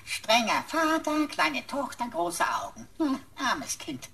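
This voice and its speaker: A woman's voice: high-pitched, big pitch swings, slow pace, a bit bright, slight background noise, exaggerated voice. A woman playing a cheeky, mocking computer sidekick in a sci-fi radio drama, teasing in a singsong voice.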